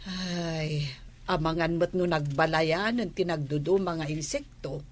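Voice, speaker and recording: A woman with a husky voice playing a caring older mother in a drama. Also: low voice, measured pace. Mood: chatty. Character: caring older mother, chatting with child and friend. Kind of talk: Filipino radio-style drama dialogue.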